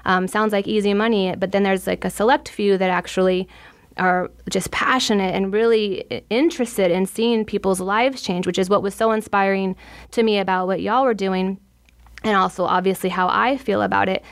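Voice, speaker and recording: A woman's voice: medium pitch, fairly even intonation, moderate pace. A woman with a mid-range voice, hosting a podcast interview. She is eager and effusive, and her questions ramble and circle back on themselves. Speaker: podcast host. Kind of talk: casual interview.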